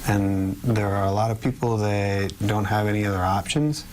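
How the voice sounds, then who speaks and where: Male interviewee, lower register, somber measured delivery, clear background noise. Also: darkish tone. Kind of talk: news interview soundbite.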